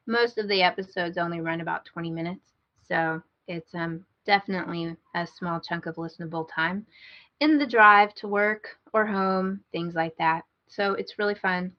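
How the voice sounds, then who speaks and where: A woman's voice: on the low side, dull audio. A woman co-hosting a podcast, giving a recommendation in casual, conversational talk.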